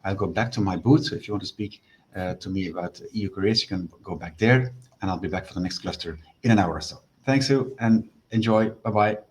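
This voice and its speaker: A man representing a staff selection office, giving a steady, explanatory talk on an online panel with some fillers. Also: low-pitched, fairly quick. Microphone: video call.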